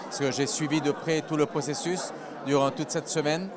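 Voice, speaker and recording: A man's voice: fairly high pitch, fairly even intonation, moderate pace. A man giving an interview at an event, hesitant and searching for words. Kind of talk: street interview. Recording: crowd noise behind.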